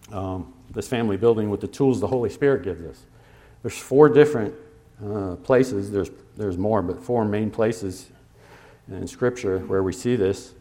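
Low-voiced man preaching a sermon. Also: darkish tone. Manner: conversational, with fillers and pauses; slows to stress scripture references. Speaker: pastor.

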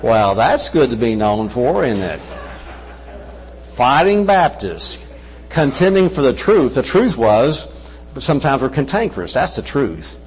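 Older man, Kentucky accent, guest preacher, folksy and joking, mid-pitched voice, faint hum under it. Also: sing-song, unhurried, in a large hall, low-quality recording. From Brazil. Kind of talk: sermon. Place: church service. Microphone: wireless mic.

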